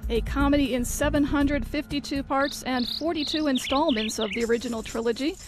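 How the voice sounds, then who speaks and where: A woman's voice: sits high, a little fast, music in the background. A young woman reading scripted announcements as host of a live student radio broadcast. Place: college radio studio.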